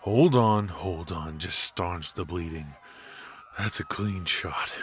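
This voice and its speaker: A man's voice: low-pitched, slow pace, a bit bright. A man playing a cocky, ruthless and protective mafia boss in a noir ASMR roleplay, with an intimate, teasing delivery. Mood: firm, reassuring. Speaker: voice actor.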